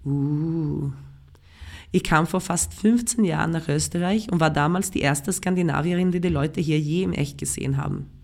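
A woman from Sweden with a Swedish accent, a writer and performer reading her own comic prose aloud with a wry, self-mocking, deadpan delivery. Swedish is her first language. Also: low voice, moderate pace.

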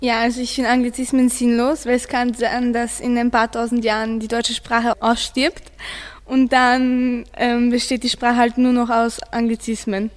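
A woman's voice: on the high side, little pitch movement, normal speed, big-room sound. A teenage girl, giving her opinion earnestly as a student guest. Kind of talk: earnest discussion.

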